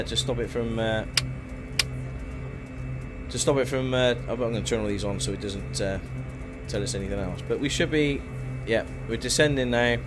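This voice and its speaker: A man with a mid-range voice commentates casually on a flight simulator stream. He thinks aloud in a halting, chatty way. Clear background sound from the game runs under his voice. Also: mid-range voice. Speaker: live-stream host.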